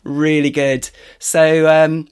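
A man hosting a podcast in relaxed, chatty talk, laughing often. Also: on the high side, slow, smooth. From northeast England. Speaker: podcast host. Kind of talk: casual chat.

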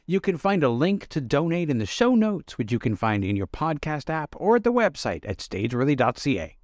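A man's voice: fairly high pitch, big pitch swings, fairly quick, dry recording, studio quality. A man with a Canadian accent hosting a theater podcast, moving between scripted introductions and conversational interviewing.

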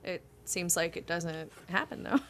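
A woman's voice: fairly low pitch, lively intonation, a little slow. A woman co-hosting a podcast in casual, playful chat. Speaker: podcast co-host.

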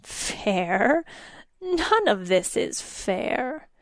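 A woman voicing a small, sinister, taunting demon in a fairy-tale audiobook, with a crackling, hissing, whispery voice and drawn-out, mock-polite menace. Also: medium pitch, very animated, dry recording, exaggerated voice. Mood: mocking, grudging.

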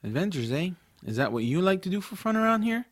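A man's voice: sits high, big pitch swings, fast, dry recording. A man playing an adventurous, skeptical young boy in an audiobook drama, telling his story expressively. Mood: skeptical, casual. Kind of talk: audiobook first-person narration and acted dialogue.

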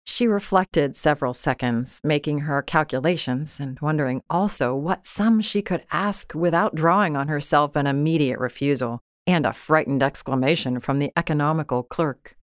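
A woman narrates a literary story in a fairly low voice. Her delivery is measured and expressive, with a dramatic touch, and the sound is very dry. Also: no room sound. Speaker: audiobook narrator.

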